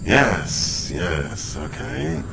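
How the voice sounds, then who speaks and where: Exiled alien man voiced by a man: low, gravelly, slow, theatrical; noisy amateur recording. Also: big pitch swings, heavily acted. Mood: fumbling. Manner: grand and declamatory, then fumbling. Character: banished male alien intervener, defiant and showy. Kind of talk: amateur radio drama.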